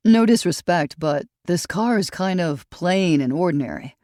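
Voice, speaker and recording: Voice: mid-range voice, lively intonation, moderate pace, a little mellow, no room sound, clean studio sound, lightly acted. An audiobook narrator voicing a tall male detective who questions people in a level, friendly way with blunt edges. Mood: probing. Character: tall male police detective, calm and probing.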